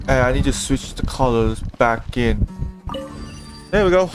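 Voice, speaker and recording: A man gives casual commentary while streaming a game. He mutters to himself in short, offhand phrases. Game music is clearly heard behind his voice. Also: mid-range voice, expressive, unhurried. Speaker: game livestreamer.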